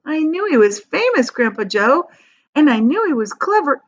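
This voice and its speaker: A woman's voice: sits high, very animated, normal speed, muffled recording, voice pushed up. A woman voicing a curious, polite little boy with an earnest, questioning manner in a storybook reading. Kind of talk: children's storybook read aloud.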